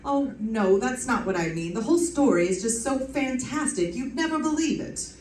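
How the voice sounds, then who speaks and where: A woman's voice: a little high, animated, normal speed, darkish tone, slight background noise, lightly acted. Woman acting wry radio lady detective, roomy and distant. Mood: businesslike, wry. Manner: sardonic, snappy wisecracking delivery. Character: wry, quick-witted female private detective narrating her case. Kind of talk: old-time radio detective drama acting. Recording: roomy, distant stage pickup.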